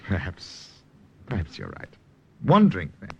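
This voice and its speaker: An actor playing a troubled, weary enemy officer in an old radio drama, with a halting, emotional delivery. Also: male voice, low-pitched, wildly swooping pitch, creaky, very noisy, full character voice. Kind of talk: 1940s-50s radio drama acting. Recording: dull old radio recording, loud music.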